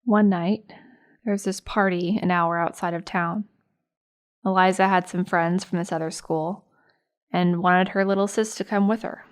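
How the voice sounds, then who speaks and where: A young American woman playing a sympathetic, earnest high school girl recalling a friend in a naturalistic audio drama. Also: steady tone, normal speed, natural voice. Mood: fond, recounting.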